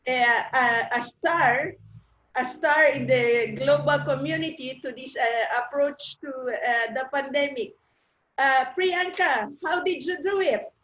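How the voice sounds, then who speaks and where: A woman moderating a webinar informally, summarising in a halting way from a room on a video call. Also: high-pitched, a bit bright, slight background noise. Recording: echoey, dull video call.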